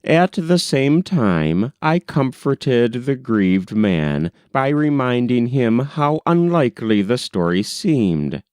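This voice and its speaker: A man reading a classic novel aloud as an audiobook narrator, in a measured, unhurried storytelling manner. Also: medium pitch, very animated, a little mellow, smooth, no echo, studio quality.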